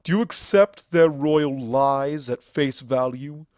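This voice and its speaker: Storybook villain: male reader as embittered winged stallion, sarcastic, sneering, slow and loud, dry sound. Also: medium pitch, no room sound, lightly acted. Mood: goading. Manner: theatrical, drawn-out venomous sarcasm. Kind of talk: dramatic storybook character reading.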